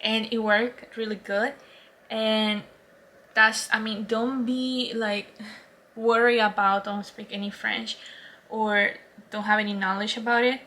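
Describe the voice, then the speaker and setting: A bright, somewhat high-pitched woman with a Colombian Spanish accent talks to camera in a relaxed, rambling way. She laughs easily and trips over her words, restarting sentences as she goes. She speaks English as a second language after Spanish. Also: measured pace. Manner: chatty and rambling, laughs often, many restarts. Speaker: vlogger. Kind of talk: casual travel vlog.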